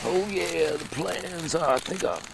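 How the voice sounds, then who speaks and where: A noisy dub of a man putting on an insolent renegade henchman. The voice is extremely creaky and rather high, with an offhand, careless cartoon swagger. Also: slow pace, exaggerated voice. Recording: noisy home-made dub.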